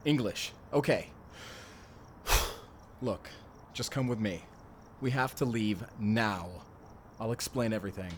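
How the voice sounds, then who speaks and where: Man playing a slow-spoken vampire slayer. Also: on the low side, slight background noise. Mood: hurried, explaining. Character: brave, gruff mysterious Spanish male vampire slayer. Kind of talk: cinematic audio roleplay acting.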